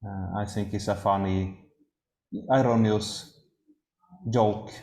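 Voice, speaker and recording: A Swedish man giving an academic talk, speaking haltingly with frequent pauses and restarts. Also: fairly low pitch, steady tone, gravelly, darkish tone. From Sweden. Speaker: lecturer. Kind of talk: academic discussion. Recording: roomy echo.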